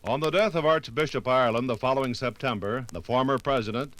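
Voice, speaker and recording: An American man narrating a scripted 1950s radio documentary in a formal, measured way. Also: mid-range voice, a bit bright.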